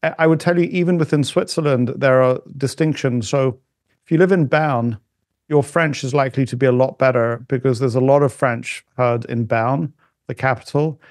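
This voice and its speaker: A middle-aged man talking as a podcast guest in casual conversation, halting with frequent restarts and fillers. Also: medium pitch, fairly even intonation, a little mellow, no room sound, clean studio sound. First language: not German.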